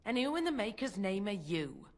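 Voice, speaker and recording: A woman's voice: medium pitch, big pitch swings, normal speed, natural voice. An actress playing a bitter, suspicious working-class mother in a video game, accusing and scornful in folksy, ungrammatical speech. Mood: scornful, resentful.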